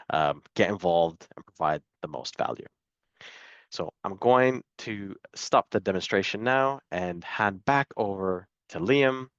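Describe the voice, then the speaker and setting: A man with a fairly low voice explains a software product informally, a little hesitantly. The sound is very dry and a bit dull. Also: dry recording. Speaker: product demonstrator. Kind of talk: software demo and Q&A. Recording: dry, slightly dull audio.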